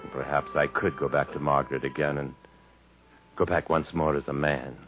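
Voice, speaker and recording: Deep-voiced, weary jungle survivor. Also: male voice, steady tone, normal speed. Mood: intense, resolute. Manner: earnest, literary, dramatic delivery. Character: weary, troubled male jungle survivor, proud and protective. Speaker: radio drama actor. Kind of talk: 1950s radio acting, dramatic narration and dialogue. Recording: muffled vintage radio recording with music.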